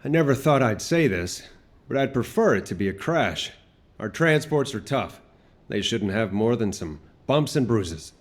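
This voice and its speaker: An actor playing a casual, sardonic veteran fighter in a video game, with a dry joking manner. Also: male voice, medium pitch, sing-song, measured pace. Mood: subdued, dry. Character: wry, laid-back veteran male fighter, Blair. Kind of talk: video game dramatic acting.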